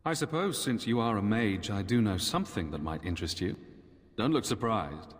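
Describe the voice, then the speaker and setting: A man voicing a weary, dour Templar knight commander with formal, measured speech in a fantasy video game. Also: lowish voice, lively intonation, lightly acted. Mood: grave, wary. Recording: long echoing hall reverb.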